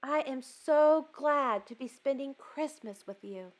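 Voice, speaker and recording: Storybook toad, male, anxious and devoted, played by a woman. High, unhurried, emphatic. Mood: relieved. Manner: dramatic, emphatic worrying and questioning.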